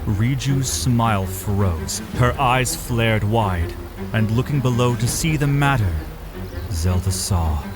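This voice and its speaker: A man narrating a dramatic story in a solemn, theatrical, deliberate way. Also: fairly low pitch. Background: music.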